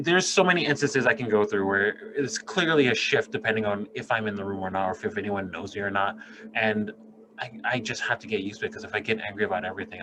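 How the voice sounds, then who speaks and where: A man's voice: fairly low pitch, background noise. A man co-hosting a podcast, talking in a casual, earnest, reflective way and swearing freely. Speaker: podcast co-host, doctor. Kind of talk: casual conversation.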